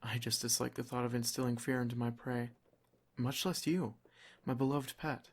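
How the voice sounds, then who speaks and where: A man playing a doting, protective vampire lord in an ASMR roleplay, soft-spoken, formal and reassuring. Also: mid-range voice, steady tone, moderate pace, lightly acted. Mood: pensive, reassuring.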